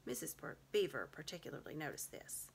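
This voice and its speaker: A woman's voice: deep voice, very animated, a little fast, creaky, breathy edge. A woman in her thirties narrating a storybook expressively, recorded in a room at home. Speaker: storybook reader. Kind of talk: expressive read-aloud narration.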